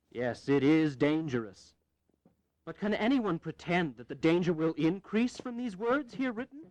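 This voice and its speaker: An actor playing a resolute, fervent revolutionary statesman in an audio history drama, with firm, measured, declamatory delivery. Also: sits high, no echo, low-quality recording. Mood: fervent, solemn.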